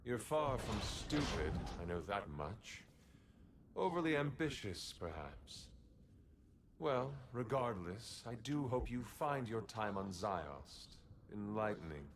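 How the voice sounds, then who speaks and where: A man's voice: on the low side, sing-song, slow pace, background noise. A man playing a haughty, menacing immortal emperor in a video game. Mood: mocking menace.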